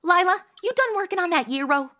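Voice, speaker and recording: A woman's voice: very high voice, expressive, brisk, bright, forceful tone, full character voice. An actress playing a brash, nosy, flippant young modern woman in an audio comedy, talking in casual, sassy slang. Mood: casual, blunt. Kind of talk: comedy audio drama acting.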